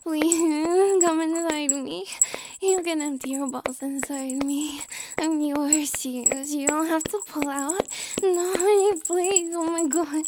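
A young adult woman performing an intimate audio roleplay, whispering softly with breathy sighs and a pleading, submissive delivery. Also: high-pitched, fairly even intonation, slow, background noise.

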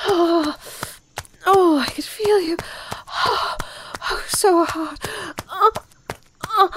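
A woman voicing a teasing, confident adult lover, speaking in a breathy, whispery way. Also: medium pitch, slow, background noise. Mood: passionate. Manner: breathy, whispery, moaning delivery. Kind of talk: scripted adult audio roleplay.

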